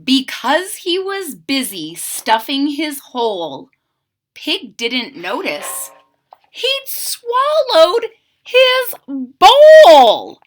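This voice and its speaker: Woman, children's read-aloud, high voice, unhurried pace, theatrical emphasis, explanatory asides. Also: slight background noise. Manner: animated, theatrical, drawn-out emphasis. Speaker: children's story reader and channel host. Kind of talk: expressive picture-book read-aloud with questions to young listeners.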